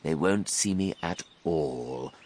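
A man voicing a huge, boastful, scheming crocodile in a children's story, savouring his words in a gloating, drawn-out way. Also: extremely low pitch, a little mellow, husky, full character voice. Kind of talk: children's storybook character reading.

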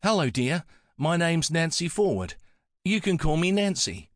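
A man voicing a kindly, affectionate elderly woman with a soft Antipodean accent and a fond, coaxing manner. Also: on the high side, big pitch swings, a little slow, no echo, clean studio sound, voice pushed up. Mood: fond, chatty.

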